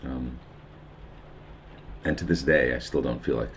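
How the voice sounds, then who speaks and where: A man's voice: extremely low pitch, unhurried, slight background noise. A middle-aged man with a New York accent, reflecting at length in a documentary interview, musing and meandering with fillers and restarts. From United States. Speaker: documentary subject. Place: houseboat on a river.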